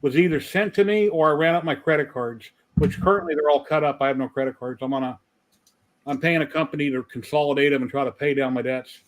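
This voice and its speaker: A man's voice: fairly high pitch, fast talker, poor audio. A retired American man around sixty, chatting in an easygoing storytelling way on a group call. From United States. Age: about 60. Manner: chatty, gives directions in a relaxed storytelling way. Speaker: retired maker, chat regular. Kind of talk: casual group chat on a video call.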